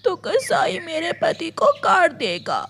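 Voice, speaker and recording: A woman's voice: very high-pitched, wildly swooping pitch, takes it slowly, vocal fry, a bit bright, slight background noise, voice pushed up, full character voice. A woman voicing a devoted, tearful cow wife in a cartoon, pleading in a plaintive way.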